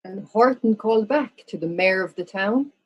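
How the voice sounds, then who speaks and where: A woman's voice: mid-range voice, lively intonation, moderate pace, husky. A woman narrating a rhyming children's story from a script, on a slightly muffled recording.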